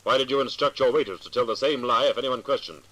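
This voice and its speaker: An actor playing a calm, commanding amateur sleuth with a measured, probing, dryly ironic manner in a 1940s radio mystery. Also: male voice, mid-range voice, lightly acted. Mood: menacing, insistent. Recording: noisy vintage 1940s radio recording.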